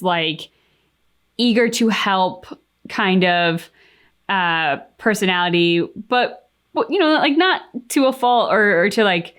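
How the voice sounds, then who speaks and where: A woman's voice: medium pitch, a bit bright. A woman co-hosting a game reaction channel, talking casually and reflectively, with plenty of likes and you-knows as she thinks aloud. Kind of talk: casual discussion, giving opinions.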